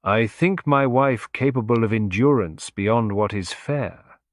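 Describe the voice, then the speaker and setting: A man's voice: on the low side, measured pace, no room sound, clean studio sound, lightly acted. A man voicing a troubled, reflective husband in an audiobook, grave and formal in manner.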